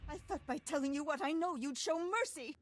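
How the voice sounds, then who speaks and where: A woman's voice: sits high, fairly quick, a little hiss or hum, natural voice. An actress playing a haughty, easily rattled aristocratic lady in a video game, refined and dramatic. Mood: pleading. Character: haughty young aristocratic lady, anxious and pleading when threatened. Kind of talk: video game cutscene acting.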